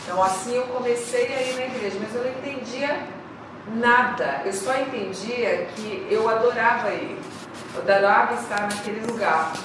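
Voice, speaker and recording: A woman's voice: on the high side, moderate pace. A Brazilian woman sharing her personal faith story in a church class, hesitant and repeating words. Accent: Brazilian Portuguese. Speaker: class participant. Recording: echoey room, distant mic, background noise.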